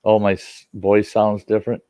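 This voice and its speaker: A man's voice: fairly low pitch, completely flat delivery, normal speed, warm tone, no echo. An older man with a slightly stuffy nose, chatting and reminiscing in a folksy, rambling way as he hosts a casual livestream from home. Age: 60s or older. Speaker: livestream host and storyteller. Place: indoors at home.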